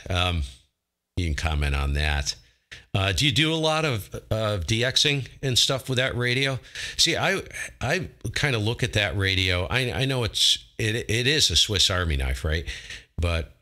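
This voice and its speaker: A man with a lowish voice and a sharp, forceful edge talks as an amateur radio operator. He chats casually and enthusiastically, with colorful, slangy turns of phrase. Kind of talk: casual ham radio conversation.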